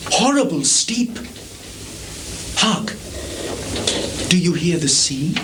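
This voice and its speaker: An actor playing a disguised son posing as a poor guide, in deliberate Shakespearean stage style on a noisy live theatre recording. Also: male voice, high voice, very animated, exaggerated voice. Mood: urgent, feigned alarm. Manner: theatrical, deliberate, vividly descriptive.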